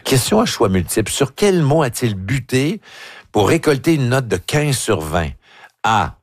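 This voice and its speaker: A man's voice: mid-range voice, very animated, unhurried, dry recording, studio quality. A man hosting a radio show, running a playful quiz and joking with his panel. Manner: jokey, laughs often. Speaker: radio host.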